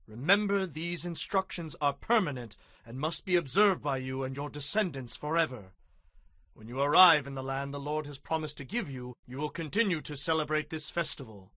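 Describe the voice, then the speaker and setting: Commanding ancient prophet, earnest, solemn, emphatic, raised pitch, sharp bright tone, dry studio sound, scripture drama. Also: male voice, moderate pace, no room sound. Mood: solemn, instructing. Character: Moses, earnest commanding male Hebrew leader instructing his people.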